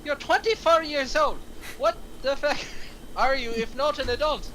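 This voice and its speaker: A man acts out an anxious henchman at the wheel, with a very high, bright voice. He is exasperated and defensive, swearing through a film table read. Some noise sits behind him in the room. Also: measured pace, poor audio. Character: anxious, rule-following male criminal henchman driving the getaway car.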